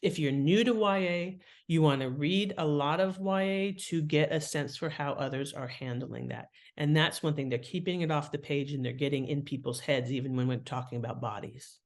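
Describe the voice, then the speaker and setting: A woman's voice: deep voice, normal speed, few pauses. Woman explaining in conversational, instructive style. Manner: instructive, illustrates points with examples. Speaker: guest author and writing teacher.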